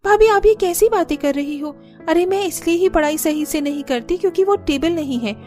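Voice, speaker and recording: A very high, whiny young student girl from a cartoon family drama, played by a woman. She pleads and complains, with faint music behind her. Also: a little fast, darkish tone. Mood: pleading, whiny. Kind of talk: Hindi cartoon story dialogue.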